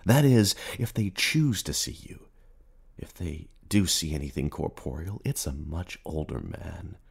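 A man performing a radio play as a merciless, taunting inner narrator, speaking in literary second person with theatrical, accusing emphasis and a husky voice. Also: low voice, very animated, a little slow, full character voice. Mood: taunting, scornful.

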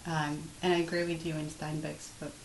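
A woman from Morocco whose first language is Arabic, an author giving thoughtful, measured answers in an interview and restarting phrases as she thinks. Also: low voice, level tone, gravelly, a little hiss or hum, poor audio.

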